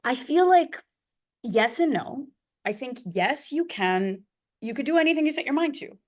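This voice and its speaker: Lively woman talking language learning. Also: on the high side. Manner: animated, quick, thinking aloud with fillers. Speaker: polyglot co-host. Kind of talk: casual conversation.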